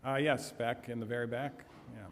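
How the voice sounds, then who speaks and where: A man giving a health lecture and answering audience questions, measured and trailing off softly at phrase ends. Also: mid-range voice, little pitch movement. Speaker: health seminar speaker. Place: church hall. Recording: echoey hall.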